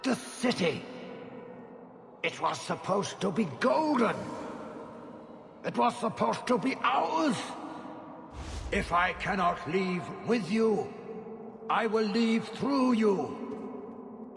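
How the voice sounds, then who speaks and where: An ancient monstrous sorcerer, speaks extremely slowly with wild swings of pitch. He is imperious and fanatical, a commanding fantasy game villain in a long cavernous reverb. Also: lively intonation, creaky, heavily acted. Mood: raging fervor. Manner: commanding, grandiose, archaic, drawn-out oratory. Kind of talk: dramatic fantasy video game acting.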